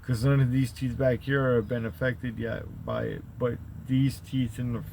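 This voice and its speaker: A man with a deep, rumbly voice who rambles through a profane, conspiratorial monologue and keeps tagging his points with "right?". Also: fairly even intonation, moderate pace, vocal fry, darkish tone, slight background noise. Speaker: channel host.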